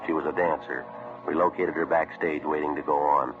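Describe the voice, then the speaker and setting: An American actor playing a terse, businesslike police sergeant in a 1950s radio drama, deadpan and matter-of-fact. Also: male voice, extremely low pitch, brisk, music in the background. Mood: deadpan narrating. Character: terse, businesslike male police sergeant narrating his case. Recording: tinny vintage radio recording.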